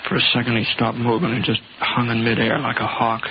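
A man's voice: mid-range voice, level tone, fairly quick, lo-fi sound, lightly acted. An American man acting a laconic, folksy drifter gunslinger in a 1950s radio western, on a hissy old recording. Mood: tense, reflective. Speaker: lead actor and narrator.

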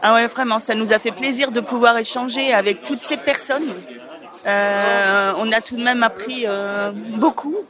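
Woman, from near bayonne, high voice, loud crowd around her, cheerful casual answers. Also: steady tone, unhurried. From near Bayonne, France. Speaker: festival attendee. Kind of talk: casual vox-pop interview.

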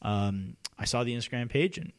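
Young male co-host, easygoing, chatty, mid-range voice, drops into softer trailing asides. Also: mid-range voice, animated, normal speed. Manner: laid-back, laughs often, trails off softly. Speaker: podcast co-host. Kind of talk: casual chat and ad read.

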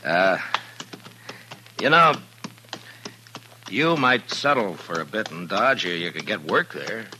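Deep-voiced, kindly frontier marshal. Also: male voice, very animated, slow, pauses often, background noise, exaggerated voice. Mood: friendly. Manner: understated, hesitant, laconic replies. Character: kindly, patient frontier marshal, steady and plainspoken. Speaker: lead actor and narrator. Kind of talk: old-time radio western acting and narration. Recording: vintage radio drama recording.

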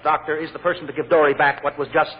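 A man's voice: on the high side, little pitch movement, fast, close to an everyday voice. An American actor playing a persuasive, earnest male defense lawyer in an old radio drama, articulate and insistent. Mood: earnest, pleading. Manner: articulate, insistent courtroom pleading. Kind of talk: 1940s-50s American radio drama acting. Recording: old radio recording, dull, hissy, long reverb.

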